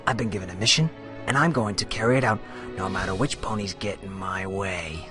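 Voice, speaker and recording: A man's voice: sits low, a little fast, music in the background, natural voice. A man in a radio play voicing a pompous, menacing pony reporter who digs up dirt ruthlessly. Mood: cold, menacing. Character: ruthless, self-important male pony muckraking reporter, villainous.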